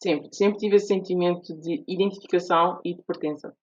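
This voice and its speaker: A woman's voice: lowish voice, fairly even intonation, a little fast, darkish tone. Earnest woman on a muffled line. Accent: European Portuguese. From Portugal. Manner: thoughtful, earnest explaining with restarts. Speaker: guest author. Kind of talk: podcast interview. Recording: dull lo-fi audio.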